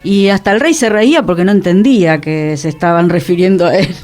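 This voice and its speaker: A woman's voice: on the low side, brisk, darkish tone. A woman with a Rioplatense Argentine accent in casual radio discussion, speaking as a host.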